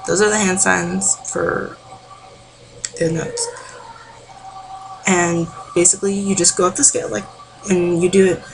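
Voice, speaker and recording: Woman, low voice with fry, informal amateur singing tutor, rambling delivery, noisy home recording. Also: steady tone, a little slow, long pauses. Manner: rambling, informal, with filler words. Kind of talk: casual vocal warm-up tutorial.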